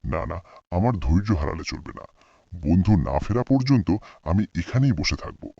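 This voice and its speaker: Loyal waiting friend played by a man: low, husky, anxious storybook monologue, dull recording. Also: very animated, moderate pace, a crowd in the background, exaggerated voice. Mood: anxious, hopeful. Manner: fretful, self-reassuring, dramatic. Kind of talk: scripted fairy-tale monologue. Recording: dull, lo-fi sound.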